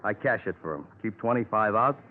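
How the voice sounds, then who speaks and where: Actor as impatient, fussy radio-drama grocer. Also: male voice, on the low side, animated, fairly quick, close to an everyday voice. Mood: insistent. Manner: clipped, repetitive, protesting. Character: impatient neighborhood grocer, plain-spoken and fussily exact. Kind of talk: 1950s radio crime drama acting. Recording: muffled, noisy old radio recording.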